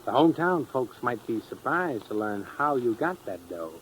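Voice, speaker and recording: Menacing actor as hard-boiled radio crook. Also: male voice, medium pitch, sing-song, moderate pace, close to an everyday voice, low-quality recording. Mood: taunting, threatening. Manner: taunting, hard-boiled, slangy. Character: menacing, sardonic male blackmailer, cool tough crook. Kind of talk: 1950s radio crime acting. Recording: old radio recording, faint noise.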